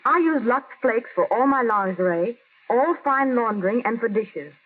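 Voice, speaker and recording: A woman's voice: fairly high pitch, lively intonation, moderate pace, slightly rough. A woman reading out a testimonial letter in the manner of a 1930s radio advertisement. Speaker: listener testimonial for sponsor. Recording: tinny, telephone-like vintage radio broadcast.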